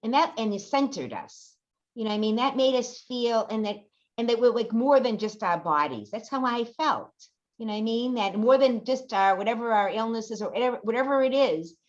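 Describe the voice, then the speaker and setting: Older woman, fairly high voice, earnest and chatty, casual discussion, dull lo-fi audio. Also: a little fast. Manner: earnest, chatty, often adding "you know". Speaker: workshop participant, amateur writer and artist. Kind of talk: casual post-show discussion.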